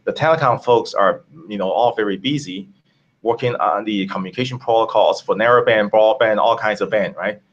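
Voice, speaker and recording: Male tech-founder guest from Taiwan, mid pitch, halting delivery, slightly dull call audio. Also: fairly bright tone. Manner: halting, many fillers and restarts. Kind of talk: casual interview answers.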